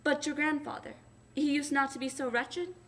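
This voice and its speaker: A woman's voice: sits high, level tone, moderate pace, voice pushed up, natural voice. Woman doing a timid, stammering wife. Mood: gentle. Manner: stammering, soft, hesitant. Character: timid, submissive wife, gentle and anxious.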